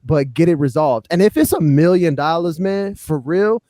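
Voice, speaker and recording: Higher-voiced American man, casual podcast talk. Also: animated, normal speed, dark tone, dry recording, clean studio sound. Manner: colloquial, punctuates points with "man" and "you know what I'm saying". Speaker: podcast co-host. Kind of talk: casual hip-hop podcast commentary.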